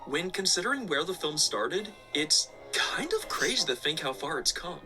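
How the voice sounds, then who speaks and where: A man's voice: fairly high pitch, big pitch swings, moderate pace, sharp tone. A man narrating a scripted video essay with casual asides. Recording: background music under the voice. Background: music.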